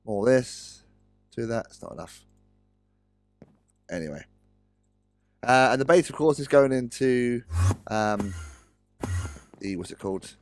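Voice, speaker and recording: A British man with a slightly croaky voice, rambling casually through gameplay commentary and correcting himself as he goes. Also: medium pitch, animated, slow, pauses often, no room sound. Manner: rambling, self-correcting, chatty. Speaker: Let's Play host. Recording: dry close-mic recording with faint music.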